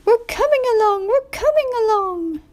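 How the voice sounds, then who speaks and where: Shrill, sing-song lady railway coach. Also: lively intonation, moderate pace, a little mellow. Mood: eager, singing. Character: anxious, eager female railway coaches, loyal and tearful.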